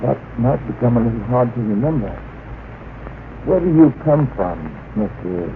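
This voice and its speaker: A man's voice: mid-range voice, expressive, normal speed, lightly acted. An actor in an old radio drama playing an anxious, plaintive young man. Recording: muffled, narrow-band old radio recording, hissy.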